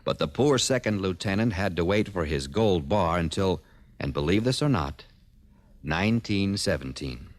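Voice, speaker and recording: A man's voice: low-pitched, animated, moderate pace, no echo. A man with an American accent reading a scripted informational piece as a radio announcer.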